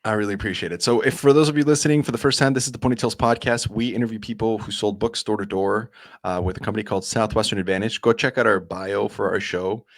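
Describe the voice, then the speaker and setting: A man with a fairly low voice rattles along at a quick clip as he hosts a podcast. He is eager and chatty, and he often restarts his sentences. The sound is dry and close. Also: fairly even intonation, no echo. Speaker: podcast host. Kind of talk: casual podcast interview.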